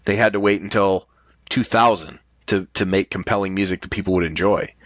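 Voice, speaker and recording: A man's voice: deep voice, fairly quick, no echo, muffled recording. Opinionated middle-aged man in dry close sound. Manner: opinionated, emphatic, profane. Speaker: podcast guest. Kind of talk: casual discussion.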